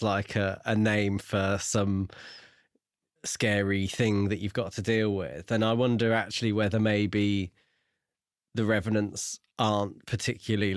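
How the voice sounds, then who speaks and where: Male podcast co-host for casual book chat; lower voice, dry close sound. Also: dry recording. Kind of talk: casual book discussion with plot recap.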